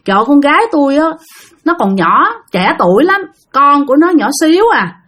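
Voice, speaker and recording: A woman's voice: high voice, sing-song, a little fast, a bit bright, voice pushed up. A woman voicing a frightened grandmother who begs a spirit to spare her daughter. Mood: pleading. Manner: pleading, tearful.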